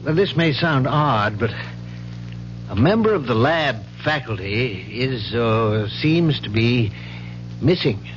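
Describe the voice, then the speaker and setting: An actor playing a worried, polite professor in a radio drama, a man speaking in a halting, hesitant way. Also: mid-range voice, lively intonation. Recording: dull, noisy vintage radio recording.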